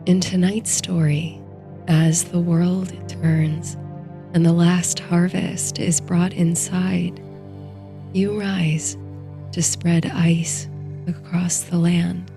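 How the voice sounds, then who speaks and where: A woman narrating a scripted bedtime story and guided meditation in a soothing, hushed, breathy way. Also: on the low side, level tone, very slow, music in the background. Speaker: host and narrator of a sleep story series.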